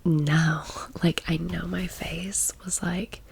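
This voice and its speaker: A woman's voice: lowish voice, flat delivery, slow, vocal fry, slight background noise. A woman in her thirties whispering a casual, chatty, gushing story in an ASMR style. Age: 30s. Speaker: ASMR vlogger.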